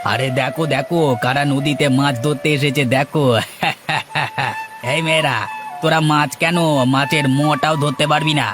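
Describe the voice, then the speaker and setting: A man's voice: a little high, steady tone, a little slow, noisy background, close to an everyday voice. A man voicing a mocking, scornful village uncle in a cartoon, full of taunts. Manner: jeering, taunting. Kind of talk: Bengali moral-tale cartoon dubbing. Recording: loud music under the voice.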